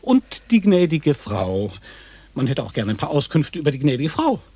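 Husky, blustering policeman, wildly animated. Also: fairly high pitch, normal speed, no echo, heavily acted. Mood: threatening, officious. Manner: mock-formal, indignant, bullying questioning. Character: blustering, accusing policeman, pompous interrogator. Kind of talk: satirical radio play acting.

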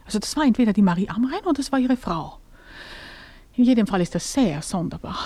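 A woman's voice: mid-range voice, animated, quick pace, warm tone, natural voice. A woman playing a suspicious, piqued society mistress with a pointed, sarcastic manner in a German radio play.

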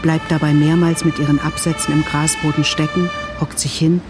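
An actress playing a detached, matter-of-fact narrator who reads screenplay-like directions in short phrases. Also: female voice, low voice, level tone, normal speed, music in the background, natural voice.

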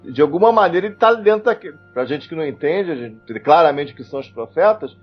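A Brazilian man on a podcast, analysing a TV series casually and thinking aloud with halting, repeated words. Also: a little high, expressive, slightly rough. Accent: Brazilian Portuguese. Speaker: podcast panelist. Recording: dull lo-fi podcast audio with music.

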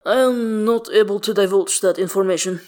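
A woman voicing an unflappable male bodyguard in a story, measured, tactful and formal. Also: mid-range voice, darkish tone, lightly acted. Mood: calm, matter-of-fact.

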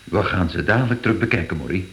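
An actor playing a courteous, practical gallery director in a radio drama, measured. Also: male voice, low-pitched, expressive, fairly bright tone, a little hiss or hum. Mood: courteous, informative.

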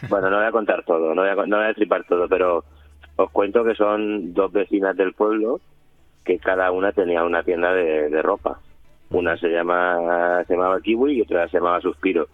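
A man's voice: sits low, fairly even intonation, brisk, no room sound. A man, a radio documentary producer, talking in an interview, hesitant and full of restarts. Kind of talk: interview talk. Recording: phone-like line.

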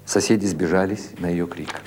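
A man narrating literary short stories as a dramatic audiobook, in a measured, deliberate way with expressive phrasing. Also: sits low, slight background noise. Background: music behind the reading.

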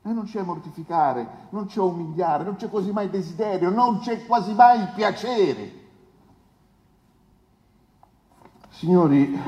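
An actor playing a pompous male defence lawyer in a 1970s trial, pleading with grand, insinuating rhetoric and dramatic pauses in a theatrical stage drama. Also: a little high, a little mellow, natural voice. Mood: declamatory, emphatic. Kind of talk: theatrical courtroom oration. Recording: echoey reverberant hall, noisy background.

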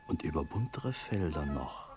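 An actor playing a condemned young prince, proud and impulsive, in declamatory verse radio drama. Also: male voice, a bass voice, big pitch swings, music in the background. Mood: brooding, resigned. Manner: declamatory, deliberate, shifting from murmur to outburst.

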